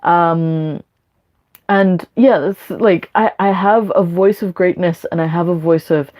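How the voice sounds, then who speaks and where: A woman's voice: fairly low pitch, slow pace, a little mellow. A young woman live streaming, talking candidly and rambling with many fillers and restarts.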